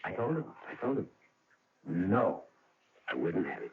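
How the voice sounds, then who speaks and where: A man with an American accent playing a blunt, embittered working-class son in a radio drama, his voice husky. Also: sits low, sing-song, measured pace, heavily acted. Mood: bitter, stubborn. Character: embittered, stubborn working-class son, gas station owner. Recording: dull, lo-fi old radio recording.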